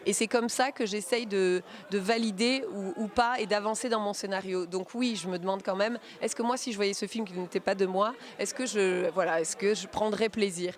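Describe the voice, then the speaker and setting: A woman film director from Marseille, in her thirties, talking through her work in an interview. She speaks at an ordinary pitch for a woman and thinks aloud, pausing and restarting as she goes. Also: fairly even intonation. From Marseille, France. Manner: thoughtful, many hesitations and restarts. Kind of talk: festival interview.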